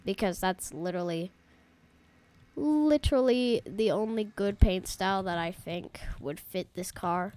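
Woman let's-play host, relaxed slow delivery, chatty and rambling, slightly off voice from allergies. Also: medium pitch. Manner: chatty, rambling, talks to viewers as "guys". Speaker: gaming YouTuber. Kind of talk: casual let's-play commentary.

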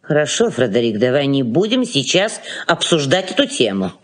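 A fussy old aunt in a Soviet radio play. Her intonation is very lively, moving between gushing hospitality and nagging, always in charge. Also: rumbling low, moderate pace, exaggerated voice. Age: older. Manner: effusive, nagging.

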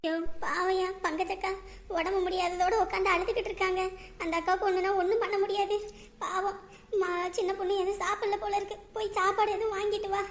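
A woman acting a caring, worried wife, speaking softly. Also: very high-pitched, little pitch movement, fast. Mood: fussing. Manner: soft, fussing.

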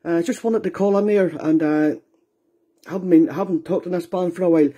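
Husky, high-pitched Scottish man on radio. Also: fairly even intonation, a little fast, warm tone. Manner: courteous, hesitant, spells out call signs. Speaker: amateur radio operator. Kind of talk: radio contact chat, procedural. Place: indoor radio room.

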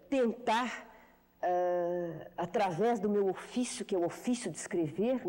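A woman in her fifties, a writer being interviewed on television, answering in a measured, reflective way with frequent hesitations. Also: medium pitch, mellow, long reverb tail. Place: television studio. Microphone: lapel mic.

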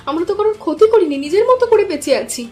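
Woman acting a very high-pitched earnest cartoon villager. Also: big pitch swings, fast, a little mellow. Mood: protesting. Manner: earnest, protesting.

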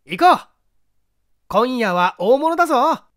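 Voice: high voice, sing-song, takes it slowly, no room sound, clean studio sound, voice pushed up, full character voice. A reader playing a confident young male detective, wry and commanding, in an audiobook mystery. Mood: excited, egging on.

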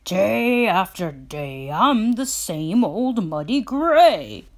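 A woman voicing a friendly, expressive storybook hippo who is bored of being gray. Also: medium pitch, extremely slow, voice pushed up, heavily acted. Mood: wistful. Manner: exclaiming, big and expressive.

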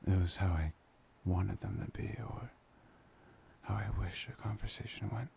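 Guy acting as a tender boyfriend asmr, lowish breathy whispery voice, super crackly fry, faint noise behind. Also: fairly even intonation, warm tone. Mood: tender, confessional. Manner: slow, pausing, soothing. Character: soft, playful, loving boyfriend, tender and reassuring. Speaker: audio roleplay performer. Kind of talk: boyfriend ASMR roleplay, intimate half-whispered monologue. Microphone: close microphone.

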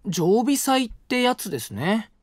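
An actor playing a polite, gentle young college student who cooks and patiently explains recipes. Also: male voice, sits high, very animated, unhurried, no room sound, studio quality, heavily acted. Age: young adult. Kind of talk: studio audio drama. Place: dry studio.

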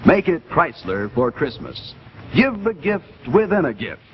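A man's voice: a little high, big pitch swings, unhurried. An American man announcing on 1950s television with a polished sales delivery. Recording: dull 1950s TV kinescope, faint noise.

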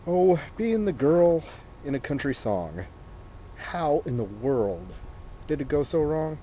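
A man in his thirties performs a mock-dramatic reading, theatrical and deliberate, with drawn-out phrases and pauses, recorded outdoors on a camera. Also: on the high side, sing-song, dark tone, slight background noise. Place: outdoors in a snowy residential yard.

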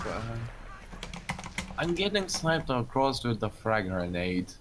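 A man's voice: medium pitch, very animated, slow pace, noisy background. A man with a Russian accent, a native Russian speaker, chatting hesitantly as a squad mate and swearing when things go wrong. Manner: hesitant, swears under stress. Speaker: squad teammate. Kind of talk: casual gameplay chat.

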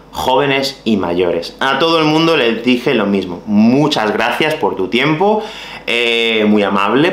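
Man, 30s, softened Andalusian accent, language teacher, lively pitch, talks to viewers, echoey small room. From Málaga, Spain. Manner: animated, gestures with his hands, addresses viewers directly. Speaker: Spanish teacher. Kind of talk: explaining to the camera for language learners.

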